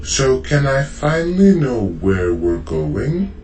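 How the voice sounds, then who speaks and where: A man's voice: medium pitch, sing-song, slow, a little mellow, soft background music. A man playing an inquisitive crew member in a science fiction audio drama, questioning his superior. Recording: roomy echo.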